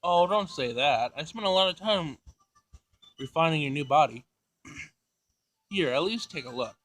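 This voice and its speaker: Man reading as a fussy professor, bright voice. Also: a little high, lively intonation, measured pace, no echo. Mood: encouraging. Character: fussy, proud male robotics professor, eager inventor. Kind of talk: visual novel character reading.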